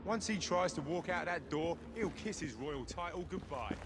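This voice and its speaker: A man's voice: on the high side, animated, normal speed, over loud music, lightly acted. Sneering villain man, long echoing reverb. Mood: gloating. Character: sneering Victorian villain conspirator. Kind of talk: video game cutscene acting.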